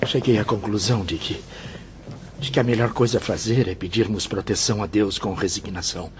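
A Brazilian actor playing a humbled former bohemian, earnest and formal, in a declamatory radio drama style. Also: male voice, lowish voice, fairly quick, lightly acted. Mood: earnest, resigned. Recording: dull radio recording with faint noise.

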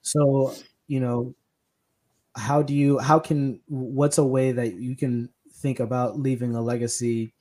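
A young man from Kenya hosts a casual podcast chat. His voice is mellow and relaxed, and he talks haltingly, restarting his sentences and leaning on fillers. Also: mid-range voice. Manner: hesitant, many restarts and fillers. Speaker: podcast host. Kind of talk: casual conversation.

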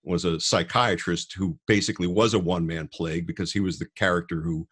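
Guy podcast host, lowish husky voice, chatty and thoughtful, explains stuff as he goes. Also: fairly even intonation, brisk, fairly bright tone. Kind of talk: conversational podcast book talk.

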